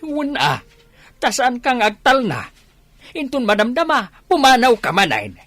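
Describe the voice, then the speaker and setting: Male actor as exasperated middle-aged village father, Ilocano accent, husky and swooping, scolding radio drama delivery. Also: extremely high pitch, wildly swooping pitch, measured pace, sharp tone, no echo, heavily acted. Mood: angry, scolding. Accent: Filipino, Ilocano.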